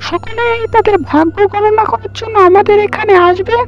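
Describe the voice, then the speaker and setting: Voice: very high voice, steady tone, normal speed. A performer voicing a cocky, greedy young village man in a cartoon, pushy and wheedling. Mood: eager, scheming. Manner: pushy, wheedling, dismissive of scruples. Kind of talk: cartoon dialogue. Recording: muffled dull audio with background music.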